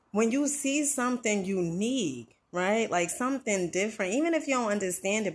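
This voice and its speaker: A middle-aged woman from New Jersey giving an earnest motivational talk, conversational and full of "you know" and "right?". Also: low-pitched.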